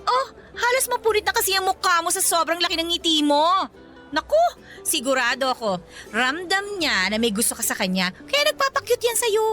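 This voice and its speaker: Sassy bff, high sharp voice, teasing and naggy, radio drama style. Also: female voice, big pitch swings, a little fast, faint music behind, heavily acted. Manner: sassy, exaggerated, scolding. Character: sassy, teasing, protective young female best friend. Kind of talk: radio romance drama acting.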